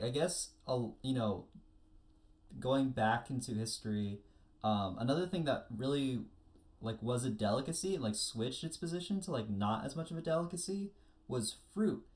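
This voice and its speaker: A man with an American accent in a casual panel chat about anime, retelling things in an animated way with acted-out quotes. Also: mid-range voice, normal speed. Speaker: podcast panelist.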